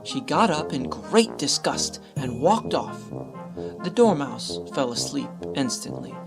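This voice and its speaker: A woman's voice: deep voice, big pitch swings, unhurried, vocal fry. A woman giving scripted storybook narration as the narrator of a radio play. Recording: music behind the voice.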